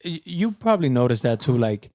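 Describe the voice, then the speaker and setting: A man from the Dominican Republic co-hosting a podcast, relaxed and matter-of-fact in casual chat. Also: mid-range voice, a little fast, no room sound, clean studio sound. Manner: relaxed, matter-of-fact, says "bro" often. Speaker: podcast co-host.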